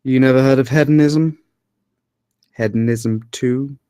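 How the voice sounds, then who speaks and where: Wry, slow-talking joker, played by a man. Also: medium pitch, fairly even intonation, warm tone, clean tone, dry recording, studio quality, close to an everyday voice. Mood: teasing. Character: joking, laid-back workmate, amused and teasing. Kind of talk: film script table read, naturalistic dialogue.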